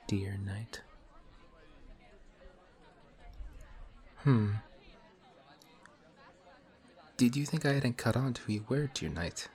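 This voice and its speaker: An actor playing a courteous, formal villain who is sly and quietly taunting, in a one-sided audio roleplay. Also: male voice, low-pitched. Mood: sly menacing. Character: suave, polite male villain in civilian clothes. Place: coffee shop scene with faint café chatter.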